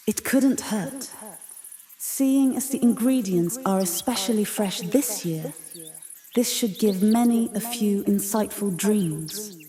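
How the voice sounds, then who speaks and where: A woman's voice: mid-range voice, in a large hall, crowd noise, lightly acted. A woman voicing a wise cat familiar. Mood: calm. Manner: measured, gently approving. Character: wise calm cat familiar, a patient mentor.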